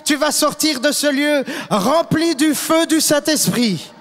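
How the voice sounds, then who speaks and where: A man's voice: very high voice, lively intonation, a little slow, fairly bright tone, a little hiss or hum. A man interpreting a sermon phrase by phrase with emphatic delivery, in a large reverberant hall. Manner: emphatic, echoes the preacher's delivery.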